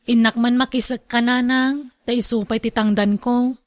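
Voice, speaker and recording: Casting: actress as practical Ilocano wife, high voice, dry close sound, earnest radio drama delivery. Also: female voice, fairly even intonation, normal speed, no echo, close to an everyday voice. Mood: questioning. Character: practical, scolding wife and caring daughter.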